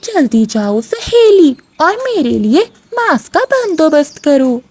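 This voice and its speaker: A woman's voice: sits high, very animated, takes it slowly, darkish tone, dry recording, full character voice. A woman voicing a greedy, predatory female eagle in a cartoon, with a gloating manner.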